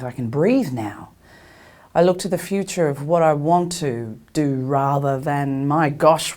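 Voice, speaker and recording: A woman's voice: low voice, a little mellow. A woman carer giving reflective personal testimony, often repeating words for emphasis. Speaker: carer sharing personal experience.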